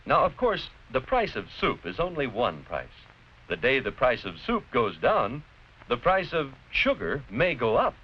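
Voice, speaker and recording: An American man giving scripted educational narration in a deliberate, clearly explanatory, didactic manner. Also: medium pitch, huge pitch swings. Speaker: narrator-presenter of an educational film. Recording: dull, noisy vintage educational film soundtrack.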